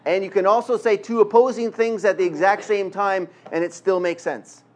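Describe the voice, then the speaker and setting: Earnest Canadian-accented preacher, fairly high voice. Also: male voice, steady tone, moderate pace. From Quebec, Canada. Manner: conversational, self-deprecating humor, earnest. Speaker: guest preacher. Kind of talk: sermon with jokes and prayer.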